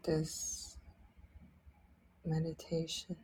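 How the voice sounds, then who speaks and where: A woman leading a guided sleep meditation in a soft, breathy, partly whispered voice, gently soothing, speaking in short phrases with long pauses. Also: deep voice, completely flat delivery, a little hiss or hum, poor audio. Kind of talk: guided sleep meditation, slow instructional narration.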